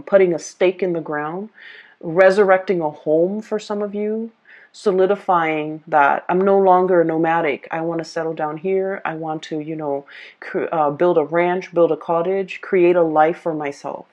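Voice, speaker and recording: A woman tarot reader speaking casually and off the cuff to viewers, often pausing and repeating herself as she searches for words. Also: fairly low pitch, fairly even intonation, highs cut off. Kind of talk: casual, improvised tarot reading addressed to viewers.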